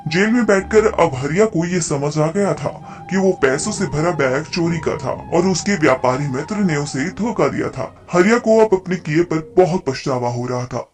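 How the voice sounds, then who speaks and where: A man's voice: on the high side, darkish tone. A man narrating a scripted folk story as the narrator.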